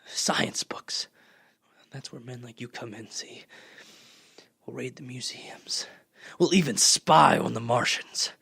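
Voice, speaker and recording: Man playing a husky, scheming soldier survivor. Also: mid-range voice, takes it slowly, no echo, in a whisper, studio quality, full character voice. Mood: insistent, conspiratorial. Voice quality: husky, gravelly. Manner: intense scheming, folksy slang, swelling from hushed to loud. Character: fierce power-hungry artilleryman survivor plotting to rule the world. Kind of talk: radio play acting.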